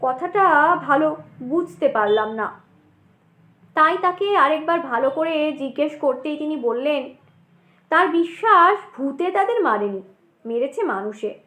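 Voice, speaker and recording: A woman storyteller with a very high voice reads literary prose in a roomy, echoing sound. Her phrasing is measured and expressive, in the manner of a dramatized audiobook. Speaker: storyteller, narrator.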